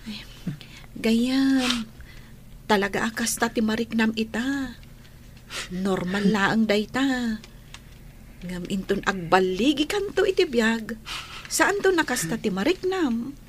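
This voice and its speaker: A woman's voice: on the high side, slow pace, long pauses, a little hiss or hum. A woman playing a caring, earnest young schoolgirl in an Ilocano radio drama. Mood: gentle, consoling. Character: caring young schoolgirl, gentle and sympathetic friend.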